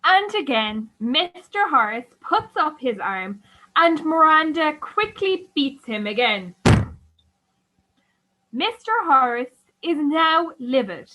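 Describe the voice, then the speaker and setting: A woman's voice: high voice, very animated, takes it slowly, muffled recording, a little hiss or hum. A woman reading scripted stage directions as the narrator of a radio play.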